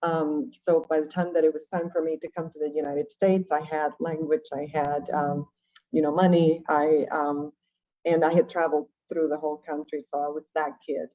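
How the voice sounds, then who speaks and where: A woman's voice: low voice, little pitch movement, moderate pace. A woman from Venezuela, a native Spanish speaker, tells her own story conversationally and reflectively, often checking in with rhetorical questions. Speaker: guest, education nonprofit director. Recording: dull, lo-fi call audio.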